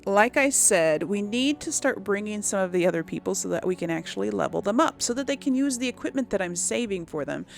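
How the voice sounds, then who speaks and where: A woman's voice: mid-range voice, expressive, brisk, gravelly, hardly any pauses, soft background music. A woman giving casual, rambling commentary on a video game, thinking aloud to her viewers. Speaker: host of a video game let's play.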